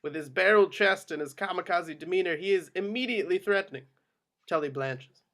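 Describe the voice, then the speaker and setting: A man's voice: sits high, fairly quick, a bit bright. A man reading screenplay action descriptions as scripted narration at a table read. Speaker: reader of stage directions.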